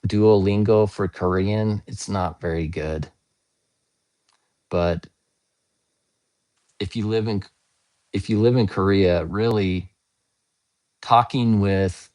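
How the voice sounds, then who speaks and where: A man speaks slowly in a low, hoarse, scratchy voice. He chats casually with viewers during a live-stream English lesson. He explains patiently and often spells words out letter by letter. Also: warm tone. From United States.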